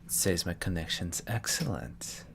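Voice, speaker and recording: A man's voice: deep voice, normal speed, gravelly, fairly bright tone, slight background noise. A young man from Texas, a voice actor chatting casually with viewers on a live stream and answering their questions. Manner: chats loosely, answering viewers' questions as they come.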